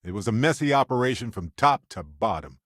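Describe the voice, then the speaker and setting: A man voicing an upbeat, wisecracking, warm-hearted space explorer companion in a video game, chatty and wry with theatrical emphasis. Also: mid-range voice, animated, a little fast, no echo, studio quality, natural voice. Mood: wry, conversational.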